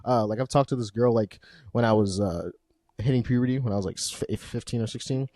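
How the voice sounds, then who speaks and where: A man in his twenties hosting a podcast, talking casually in an animated way with frequent laughs and casual swearing. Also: medium pitch, fast, dry recording. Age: 20s. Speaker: podcast host. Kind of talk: casual chat. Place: apartment room.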